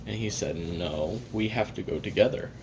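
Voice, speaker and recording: A man plays a hard-drinking, jaded male assassin taping his memoirs for an audio roleplay. His voice is low, with a gravelly fry, and he rambles wryly, cursing and putting himself down. Clear hiss and rumble sit under the recording. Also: normal speed, lightly acted. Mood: subdued, reflective. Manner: profane, wry, rambling, self-deprecating. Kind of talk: confessional audio roleplay monologue.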